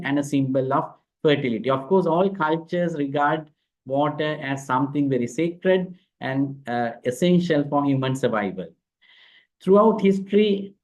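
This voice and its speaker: Sri Lankan man, keynote speaker, fairly high pitch, measured delivery with fillers, video-call sound. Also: a little mellow, poor audio. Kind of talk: webinar keynote address.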